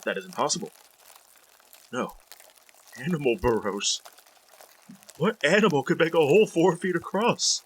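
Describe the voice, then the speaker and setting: An actor playing a middle-aged, condescending scientist husband in a 1940s style radio drama, with a melodramatic delivery. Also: male voice, a little high, lively intonation, normal speed, long pauses, husky. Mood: puzzled, uneasy. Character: cold, contemptuous scientist husband unravelling into panic.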